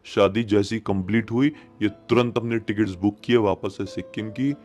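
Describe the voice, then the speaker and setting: A man's voice: lowish voice, steady tone, moderate pace, a little mellow. A man narrating a horror story in a conversational, suspenseful way, repeating phrases for emphasis. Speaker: horror storyteller, channel host. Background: music.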